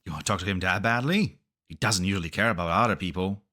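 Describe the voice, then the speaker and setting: Casting: male actor for concerned, dutiful staffer, very low bright voice, game dub. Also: quick pace. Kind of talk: scripted game fan dub acting.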